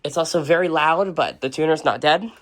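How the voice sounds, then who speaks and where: A video host giving a casual, unscripted show-and-tell indoors in an informal, halting way. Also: medium pitch, heavy background noise. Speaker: video host and weather radio collector. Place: indoors, in a room with shelves of radios.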